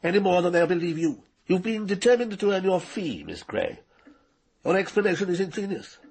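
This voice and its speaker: Casting: cold-blooded patrician villain, mature, gravelly and husky yet fairly high-pitched, condescending, radio drama. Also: male voice, sing-song, brisk, a little mellow, exaggerated voice. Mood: cool, dismissive. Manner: condescending, precise, coolly menacing. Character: arrogant, cold-blooded male scientist knight, middle-aged or older.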